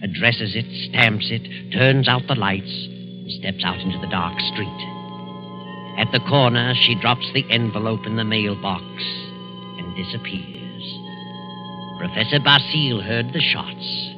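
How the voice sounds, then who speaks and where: A man giving measured, ominous narration for a 1940s radio suspense drama. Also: on the low side, lightly acted. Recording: dull old radio recording, music behind. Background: dramatic music.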